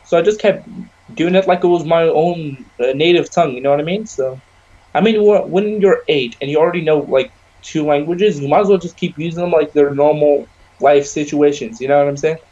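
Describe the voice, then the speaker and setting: A young man whose first language is Arabic, chatting casually on a podcast. His voice sits a little higher than most men's and he rambles, tossing in "you know" all the time. The recording is dull and muffled. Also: a little fast, warm tone. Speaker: podcast co-host. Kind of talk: casual chat.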